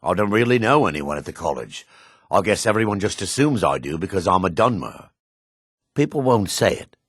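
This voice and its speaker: Husky, low-voiced gruff dark elf. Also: male voice, dry recording, studio quality, heavily acted. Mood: disdainful, weary. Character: gruff male dark elf townsman and warrior. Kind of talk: video game character voice lines.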